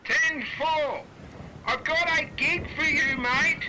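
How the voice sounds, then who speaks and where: A man voicing a male manager who talks in a brisk radio style over a megaphone. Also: very high-pitched, fairly even intonation, sharp tone, a little hiss or hum, voice pushed up, exaggerated voice, rough recording. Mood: breezy.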